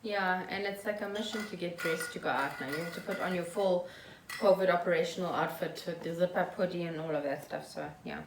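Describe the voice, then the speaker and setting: A woman's voice: lowish voice, brisk. A woman chatting casually as co-host of a podcast. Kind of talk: casual unscripted chat. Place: echoey room at home. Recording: echoey room, distant mic, faint noise.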